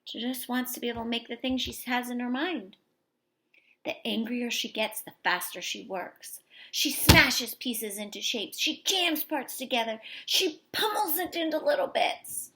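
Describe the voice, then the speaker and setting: A woman teacher reading a picture book aloud expressively to young children, pausing for explanations and questions. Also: a little high, lively intonation, pushed and bright, a little hiss or hum, poor audio. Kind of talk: expressive children's story read-aloud with asides to listeners.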